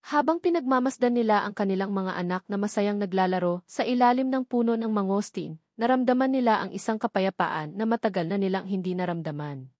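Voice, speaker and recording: A woman's voice: mid-range voice, fairly quick, darkish tone, dry recording, studio quality. A woman with a Filipino accent reading scripted dramatic narration of a love story as an audiobook narrator.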